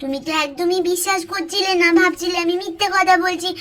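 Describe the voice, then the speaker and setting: Very high-pitched, chatty little girl. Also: fast talker, a bit bright. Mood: eager, insistent. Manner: childlike, eager, repeats phrases insistently. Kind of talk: doll cartoon story acting.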